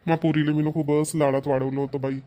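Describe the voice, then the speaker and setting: A man's voice: on the high side, level tone, a little fast, dark tone, natural voice. A man playing a doting, proud middle-aged village father in a cartoon, with a rural Maharashtrian Marathi accent and earnest, homely manner. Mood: proud, relieved. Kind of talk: Marathi cartoon family comedy voice-over.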